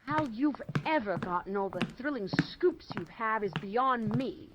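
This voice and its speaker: A woman's voice playing a scornful young reporter in 1940s radio style, exasperated, sarcastic and crisply spoken. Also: a little high, expressive, unhurried. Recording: muffled, noisy audio with background ambience.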